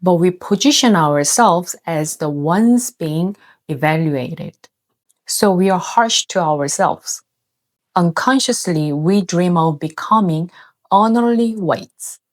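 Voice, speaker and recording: Korean-accented woman, low-pitched narration. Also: animated, slow pace. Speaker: video essay host. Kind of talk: scripted narration.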